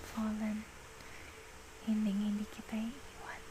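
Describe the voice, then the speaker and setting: A woman playing an affectionate, reassuring girlfriend in an ASMR roleplay, with a Filipino accent and soft, breathy speech that slips into whispers. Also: medium pitch, completely flat delivery, background noise, natural voice. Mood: loving, earnest. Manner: slow, tender, mixes Tagalog with English. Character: affectionate, patient, reassuring young girlfriend soothing a partner.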